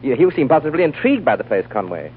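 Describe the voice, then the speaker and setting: A man's voice: medium pitch, big pitch swings, racing, natural voice. An actor playing a sarcastic, prickly young man in his twenties. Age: 20s. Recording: tinny old radio broadcast, faint hiss.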